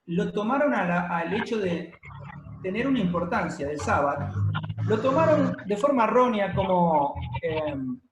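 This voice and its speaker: Guy preaching, kinda high voice, lots of ehs and pauses, echoey church room. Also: very animated, noisy background. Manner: explanatory teaching, frequent pauses and fillers. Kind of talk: sermon. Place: church hall, congregation present and streamed. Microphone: handheld or stand microphone.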